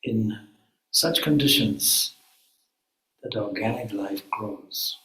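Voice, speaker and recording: A man with a fairly low voice leads a guided meditation in an echoey room. He speaks very slowly and calmly, setting out short, measured phrases with pauses between them. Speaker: swami, guided meditation leader.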